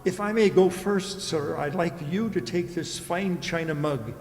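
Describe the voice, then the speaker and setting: A man's voice: on the high side, measured pace, darkish tone. A man playing a polite, dutiful household servant with formal manners in a radio play. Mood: courteous, proud. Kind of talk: children's radio play acting. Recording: very echoey hall recording.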